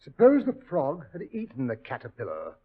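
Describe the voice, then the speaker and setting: An actor playing a bumbling, blustery English doctor sidekick who stammers and exclaims, in 1940s radio acting. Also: male voice, mid-range voice, huge pitch swings, brisk, slightly rough. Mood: flustered. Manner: stammering, exclamatory, easily flustered. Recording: dull lo-fi 1940s radio recording.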